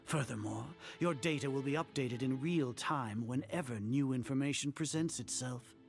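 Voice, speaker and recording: Male actor as arrogant older scholar villain, game voice acting, gravelly, grandiose and condescending, faint music behind. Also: medium pitch, normal speed, close to an everyday voice. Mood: smug, cold. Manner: pompous, formal, grandiose.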